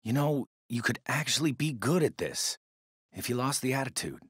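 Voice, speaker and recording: A man playing a stern, middle-aged ex-army stepfather in a video game, with an American accent and a gruff, commanding manner. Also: mid-range voice, lively intonation, normal speed, a bit bright, no room sound, clean studio sound. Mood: gruff lecturing.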